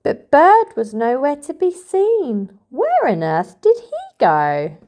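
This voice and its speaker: A woman reading a picture book aloud to toddlers in an expressive, sing-song storytelling manner. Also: sits high, takes it slowly. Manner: expressive, sing-song, addressing young children.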